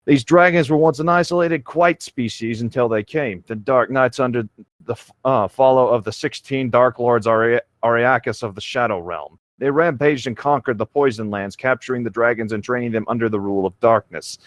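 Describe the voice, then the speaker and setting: A man voicing a courteous, weary griffin lord in a formal, measured storytelling manner. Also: medium pitch, no room sound, lightly acted. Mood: grave, foreboding.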